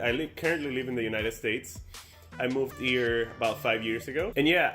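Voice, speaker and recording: A man's voice: medium pitch, sharp tone. Young man with a Dominican accent. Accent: Dominican Spanish. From Dominican Republic. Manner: rambling, many fillers and restarts. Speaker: YouTuber. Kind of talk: casual sit-down chat to camera.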